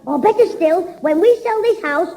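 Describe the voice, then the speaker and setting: A man's voice: extremely high pitch, expressive, vocal fry, dark tone, heavily acted. A man playing a cheeky, wisecracking young schoolboy in a 1960s radio sitcom. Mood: scheming. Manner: cheeky, wisecracking, quick retorts. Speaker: child character in radio comedy.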